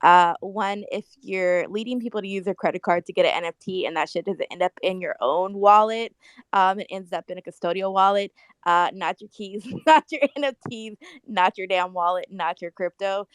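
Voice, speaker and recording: A woman's voice: medium pitch, normal speed, clear, resonant tone, dry recording. A woman talking casually and frankly on a panel, blunt and slangy, hammering her points. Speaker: panelist in an online music discussion.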